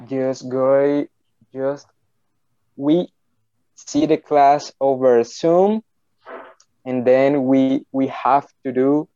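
A man from Colombia, a native Spanish speaker, answering interview questions in halting English with many restarts. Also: mid-range voice, dark tone, clear, resonant tone, dry recording, muffled recording. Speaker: English student.

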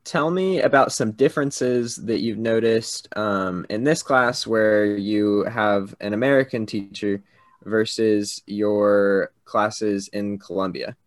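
A man's voice: fairly low pitch, slow pace, no echo. Patient man asking interview questions. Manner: patient, encouraging. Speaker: interviewer, English teacher.

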